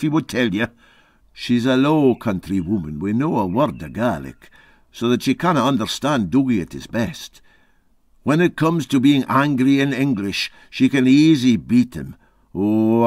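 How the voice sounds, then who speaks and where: A man acts out an old Highland Scottish sea captain from a storybook. He is boastful and garrulous, a cheerful bachelor with folksy, emphatic talk. His pitch swings freely in a dry, close sound. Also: darkish tone, dry recording. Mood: wry, gossiping.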